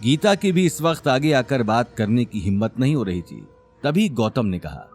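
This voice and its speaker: A man tells a scripted story as a dramatic narrator. His medium-pitched voice moves with animated intonation. Faint music runs underneath. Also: normal speed.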